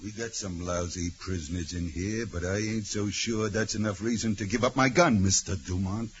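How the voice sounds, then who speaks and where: An actor playing a gruff, suspicious convict ringleader, slangy and belligerent, in a 1940s radio drama. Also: male voice, fairly low pitch, normal speed, close to an everyday voice. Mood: defiant, suspicious. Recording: muffled, noisy 1940s radio recording.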